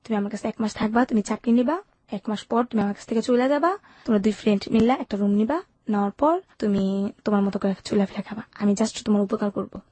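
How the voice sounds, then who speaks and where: Fast-talking young Bangladeshi woman telling her story. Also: mid-range voice, dark tone, breathy edge, no echo. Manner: colloquial, recounts events with quoted dialogue. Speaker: interview guest telling her life story. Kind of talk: candid personal storytelling in conversation.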